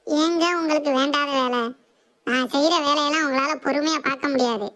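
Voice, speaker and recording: A woman voicing an assertive, sharp-tongued housewife and mother in a comic cartoon. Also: high voice, steady tone, brisk. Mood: mocking. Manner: bossy, challenging, sarcastic.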